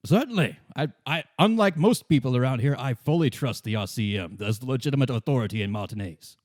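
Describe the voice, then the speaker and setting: A man's voice: medium pitch, animated, a little fast, darkish tone, no echo, clean studio sound, natural voice. A man voicing a proud old royalist former guard, courteous and deferential, in a tabletop game. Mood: proud, nostalgic.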